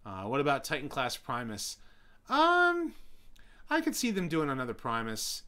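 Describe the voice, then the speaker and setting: Young guy rambling on his live stream, bright voice going a bit hoarse, lots of um and uh. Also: mid-range voice, huge pitch swings, moderate pace. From New York City. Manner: rambling, many fillers and restarts. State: voice getting hoarse. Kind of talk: casual live-stream chat answering viewers.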